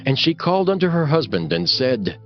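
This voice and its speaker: A man's voice: medium pitch, big pitch swings, fairly quick, highs cut off, faint music behind. A man narrating a scripture audiobook in a formal, solemn storytelling manner.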